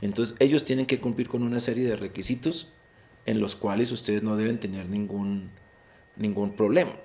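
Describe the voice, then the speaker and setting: A man's voice: mid-range voice, darkish tone, a little hiss or hum. A man with a Colombian accent advising students on an English exam in a virtual session, explaining rules and repeating key points. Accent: Colombian Spanish. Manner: explanatory, repeats and restarts words, stresses key advice. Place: online session.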